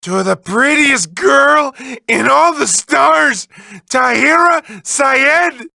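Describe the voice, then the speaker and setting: A man's voice: extremely high pitch, animated, extremely slow, vocal fry, sharp tone, no room sound, raised voice, full character voice. A man voicing a manic, lovelorn, whiny mad-scientist villain in a video game, with theatrical, exaggerated delivery that swings between cooing and wailing. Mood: pleading, lonely. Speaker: video game villain reading developer messages. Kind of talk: exaggerated comic cartoon voice acting.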